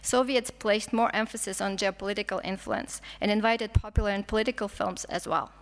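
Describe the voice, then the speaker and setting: A woman's voice: medium pitch, fairly quick, in a large hall. A woman with a Russian accent giving an academic conference talk, reading with frequent stumbles, restarts and fillers. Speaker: film historian lecturer.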